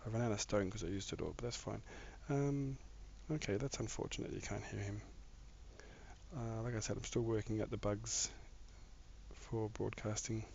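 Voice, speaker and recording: A man's voice: fairly low pitch, steady tone, normal speed, creaky, darkish tone. A man with a somewhat mixed Australian accent, chatting casually with viewers while he plays a game on a live stream. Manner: rambling, chats with viewers while building. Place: home room. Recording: dull lo-fi mic with faint noise.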